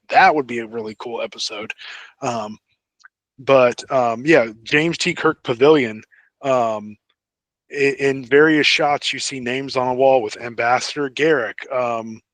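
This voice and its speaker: A man co-hosting a podcast in casual fan chat, thinking aloud in a halting way. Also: mid-range voice, a bit bright, no echo. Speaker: podcast co-host.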